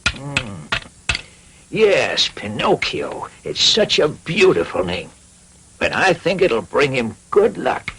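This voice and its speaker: A man's voice: medium pitch, wildly swooping pitch, takes it slowly, background noise, full character voice. An actor playing a kindly old woodcarver and father, muttering and exclaiming as he gets exasperated, in a cartoon dub. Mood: fond, musing. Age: older. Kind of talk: animated cartoon dub acting. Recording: music behind the voice.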